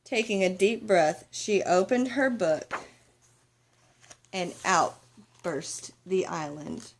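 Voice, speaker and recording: Slow-paced woman reading a children's story. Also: lowish voice, animated, slight background noise. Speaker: storybook reader. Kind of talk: expressive children's story narration.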